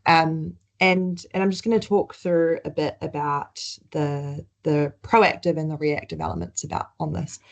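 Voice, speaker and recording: A woman's voice: low voice, expressive, a little slow, creaky, hardly any pauses, highs cut off. A woman with a New Zealand accent presenting slides in a webinar, conversational, with frequent ums and restarts.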